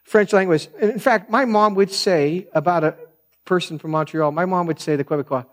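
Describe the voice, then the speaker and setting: A man with a soft voice preaching a sermon, telling stories in an earnest, conversational way. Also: high-pitched, normal speed. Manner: earnest, conversational storytelling with frequent restarts. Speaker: pastor.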